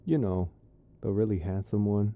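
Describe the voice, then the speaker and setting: A man playing a young vampire boyfriend locked out, coaxing in a halting, wry way, muffled as if behind a door. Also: on the low side. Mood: pleading, exasperated. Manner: halting, coaxing, wryly playful. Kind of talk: ASMR boyfriend roleplay. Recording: muffled narrow-band, as through a door.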